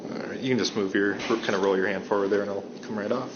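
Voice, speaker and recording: A police officer speaking calmly and patiently through an arrest, reading forms word for word, recorded on his body camera. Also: fairly low pitch, fast. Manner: calm, patient, reads forms verbatim. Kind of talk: procedural arrest talk. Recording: muffled bodycam audio with loud noise.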